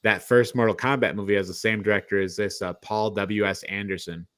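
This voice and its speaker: A man's voice: fairly low pitch, steady tone, brisk, a bit bright, clear, resonant tone. A man hosting a podcast, reviewing films in a casual, conversational and opinionated way. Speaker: podcast host. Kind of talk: casual film review chat.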